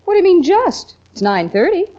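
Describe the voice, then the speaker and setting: Friendly young working woman, lightly teasing, very animated delivery, vintage radio comedy-drama, muffled lo-fi sound. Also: very high-pitched, brisk. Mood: cheerful. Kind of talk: old-time radio comedy-drama acting. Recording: dull old radio recording.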